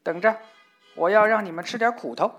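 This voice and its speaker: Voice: medium pitch, brisk, muffled recording, soft background music, pitched above the performer's own voice, close to an everyday voice. A storyteller voicing an angry duck who scolds and threatens in a children's fairy tale. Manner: loud, threatening.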